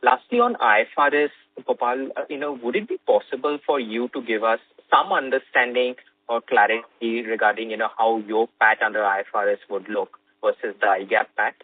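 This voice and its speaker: A man speaks as a financial analyst, putting questions politely and a little haltingly on a conference call. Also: mid-range voice. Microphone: phone. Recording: narrow, dry phone line.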